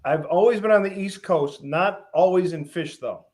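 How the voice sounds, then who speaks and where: A middle-aged man from Western Massachusetts, a guest on an interview show, telling stories in a relaxed way with many pauses and lists. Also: high voice. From Western Massachusetts, USA. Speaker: guest, fish farm founder. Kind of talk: casual interview.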